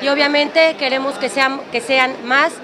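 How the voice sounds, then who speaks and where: A woman with a Mexican accent speaks high-pitched at a press conference. She delivers her statement in short, separated phrases. The room around her is full of people. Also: fairly even intonation, normal speed, a crowd in the background. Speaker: municipal official speaking to press. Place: crowded indoor room. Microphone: press microphones on table.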